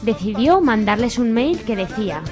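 A woman's voice: fairly high pitch, expressive, moderate pace, music in the background. A woman with an accent from Spain reading scripted narration for a radio drama.

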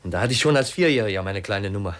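Earnest, low-pitched young man. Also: animated, racing, fairly bright tone. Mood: fond, reminiscing. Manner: formal, resolute, earnest. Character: earnest, determined young male heir raised among circus artists. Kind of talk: 1950s German radio play acting.

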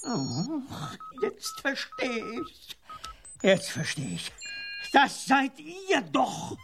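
A pompous slow-talking ground controller. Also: sits high, huge pitch swings, over music, heavily acted. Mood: officious. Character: fussy ground-control voice speaking High German. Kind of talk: radio play acting.

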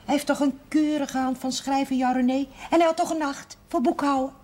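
A woman's voice: sits high, steady tone, a little fast, darkish tone, natural voice. An actress playing a chatty, well-meaning, gossipy woman in a television period drama. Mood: chatty, eager. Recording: faintly noisy 1970s television soundtrack.

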